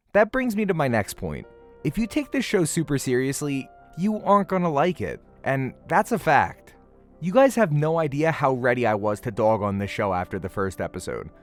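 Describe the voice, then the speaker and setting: A man narrating a scripted but casual review as a video host, in a conversational, emphatic and playful way. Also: mid-range voice. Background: music.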